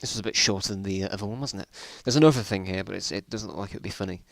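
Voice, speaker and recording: A man's voice: low-pitched, racing, gravelly, no room sound. A British man reading stories aloud in an informal dramatic style, breaking off with amused, incredulous asides. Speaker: reader of his own old school stories.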